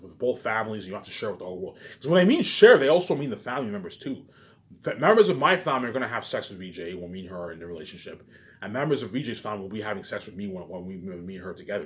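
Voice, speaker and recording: Fast-talking man in a repetitive rant. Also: on the low side. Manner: repetitive, with frequent verbal tags; profane, ranting. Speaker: vlogger. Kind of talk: rambling unscripted monologue to viewers.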